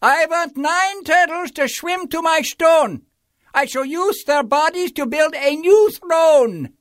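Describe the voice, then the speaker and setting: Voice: high voice, no room sound, studio quality, voice pushed up. A bellowing pompous turtle king. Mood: gloating. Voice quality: bellowing, haughty, gloating. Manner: drawn-out shouted boasts, gleeful laughs. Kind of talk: storybook read-aloud.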